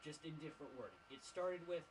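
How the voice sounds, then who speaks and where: A man's voice: fairly high pitch, expressive, fast talker, very noisy. A young man chatting casually on a game livestream in a rambling, opinionated, sweary way. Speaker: gaming livestreamer. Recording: music playing behind the voice.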